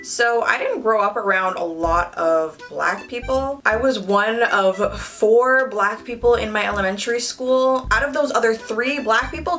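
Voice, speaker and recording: A young woman from Canada chatting candidly to her viewers while she does her makeup. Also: a little high. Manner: candid, animated, talks to the viewers directly. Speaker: beauty vlogger. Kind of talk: casual chat while doing makeup. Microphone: camera mic, close.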